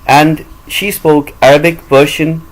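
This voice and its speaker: A man's voice: a little high, little pitch movement, a little slow, low-quality recording. A man from Pakistan with a Pakistani English accent, whose first language is Urdu, speaking bluntly and defiantly in a personal monologue. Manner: blunt, combative, repetitive emphasis. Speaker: voice-over reader and vlogger.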